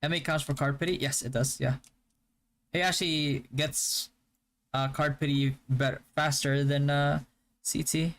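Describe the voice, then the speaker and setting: A young man from the Philippines whose first language is Hiligaynon, chatting casually on a live stream and answering viewer questions in a relaxed, stop-start way. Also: a little high, measured pace. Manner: relaxed, stop-start, with frequent fillers and restarts. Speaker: game streamer.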